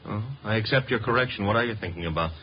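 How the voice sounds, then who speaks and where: An actor playing a cheeky, sardonic detective's assistant with a breezy, needling manner in a 1950s radio drama. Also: male voice, fairly low pitch, racing, natural voice. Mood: wry, needling. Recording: muffled, noisy vintage radio recording.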